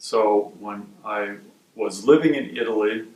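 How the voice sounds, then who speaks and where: Guy from wisconsin, research director, calm explaining tone, kinda far off in a roomy space. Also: fairly low pitch. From Wisconsin, USA. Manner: measured, explanatory. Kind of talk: interview answers. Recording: roomy sound from a distant mic.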